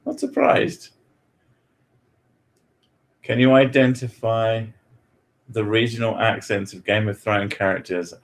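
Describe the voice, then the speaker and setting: A man's voice: lowish voice, lively intonation, slow pace, long pauses. A man from Britain chatting casually as host, joking, swearing freely and laughing often. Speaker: podcast host answering questions. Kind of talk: casual chat.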